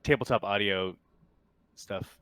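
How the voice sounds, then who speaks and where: A man's voice: on the low side, slow pace, fairly bright tone. A man acting as game master, casually and agreeably explaining rules to players over an online voice call. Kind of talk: casual tabletop game refereeing.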